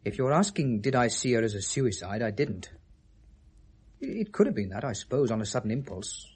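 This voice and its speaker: A man's voice: medium pitch, very animated, moderate pace, a little mellow, breathy edge. An actor in a 1970s British radio drama playing a defensive, articulate Englishman with a dry, precise, prickly manner. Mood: defensive, reflective. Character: defensive, articulate man questioned about dead young woman.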